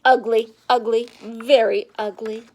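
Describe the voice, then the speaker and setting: Storybook schoolchildren voiced by a woman: mocking, drawn-out, higher voice. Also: level tone, a little hiss or hum. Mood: taunting. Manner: drawn-out taunting. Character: mocking schoolchildren jeering at a classmate.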